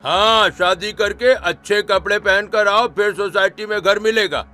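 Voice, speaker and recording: A man's voice: high voice, a little slow, bright, forceful tone. A man playing a stern, moralizing middle-aged housing society secretary who scolds and lays down rules in a story drama. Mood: scolding, dismissive. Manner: scolding, rule-bound, authoritative. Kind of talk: acted Hindi story dialogue.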